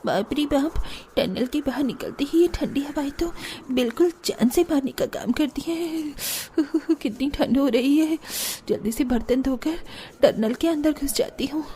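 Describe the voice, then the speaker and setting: High-voiced, plaintive young daughter-in-law. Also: female voice, lively intonation, unhurried, hardly any pauses, dark tone, a little hiss or hum. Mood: shivering. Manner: earnest, plaintive. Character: distressed young village daughters-in-law, pleading and worried. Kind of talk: cartoon drama acting.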